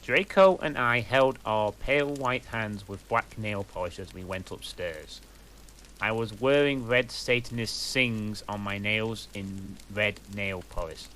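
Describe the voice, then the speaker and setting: A man reads a story aloud in a slow, deadpan manner, giving each line little emphasis. His voice is bright and forward, and the pace is unhurried. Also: on the low side, slight background noise, rough recording. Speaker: reader. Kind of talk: comic dramatic reading.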